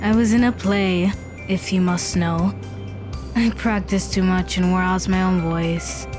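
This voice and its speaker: A woman playing a curt, irritable, haughty teenage girl who sounds hoarse, in an audio drama. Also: lowish voice, steady tone, a little slow, over music, lightly acted. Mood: irritated.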